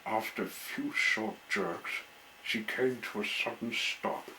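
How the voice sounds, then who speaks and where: A man's voice: fairly low pitch, takes it slowly, sharp tone, a little hiss or hum, rough recording. A man reading a children's storybook aloud as the storyteller.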